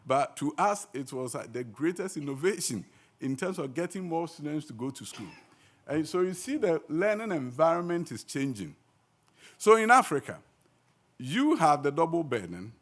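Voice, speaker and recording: A man speaking as an education minister at a conference panel. He is earnest and emphatic, pausing on fillers now and then, and his voice sits fairly high for a man. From Ghana. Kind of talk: conference speech. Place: conference hall.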